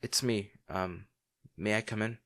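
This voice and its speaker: A man playing a devoted, remorseful bodyguard to a noble lady in a fantasy audio drama, speaking in a formal, halting, earnest way. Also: lowish voice, fairly even intonation, a bit bright. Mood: gentle, concerned.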